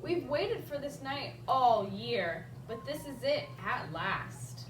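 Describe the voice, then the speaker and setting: High-pitched woman reading to children, roomy sound. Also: takes it slowly, slight background noise. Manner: animated storyteller addressing young children. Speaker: children's librarian and story time host. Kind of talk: expressive picture book read-aloud with casual asides to children. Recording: roomy, distant microphone, music behind.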